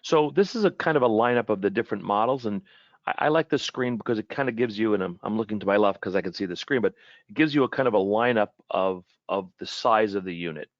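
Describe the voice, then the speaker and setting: A man giving an informal webinar product presentation in a halting way, often repeating and rephrasing his words. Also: lowish voice, no echo, muffled recording.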